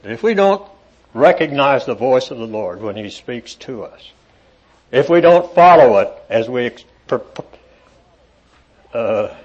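A man preaching to a congregation in an evening meeting, reading scripture aloud with rising emphasis and talking with halting fillers in between. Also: medium pitch, animated, a little mellow, husky. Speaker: lay preacher. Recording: dull lo-fi recording.

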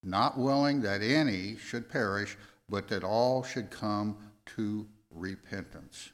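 An American man in his seventies preaching a sermon, going verse by verse in a halting, deliberate way and repeating phrases for emphasis. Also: on the low side. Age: 70s. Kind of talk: sermon, verse-by-verse Bible exposition.

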